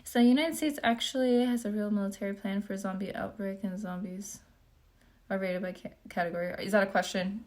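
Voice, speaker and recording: Young woman, from Japan, casual livestream chat, relaxed, filler-heavy, reads comments aloud, mid-pitched voice. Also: fairly quick. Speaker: beauty vlogger. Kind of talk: casual livestream Q&A while doing makeup.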